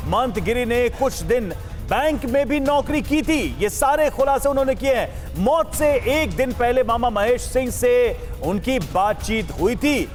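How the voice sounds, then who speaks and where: A woman's voice: a little high, expressive, unhurried, a little mellow, soft background music. A woman presenting TV news as an anchor in a scripted style.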